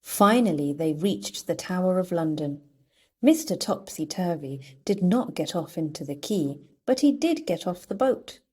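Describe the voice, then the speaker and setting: A woman narrates a children's storybook in a playful way. Her voice sits a little low, with a soft, husky edge, and she takes her time over each phrase. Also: lively intonation, measured pace. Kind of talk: read-aloud storybook narration.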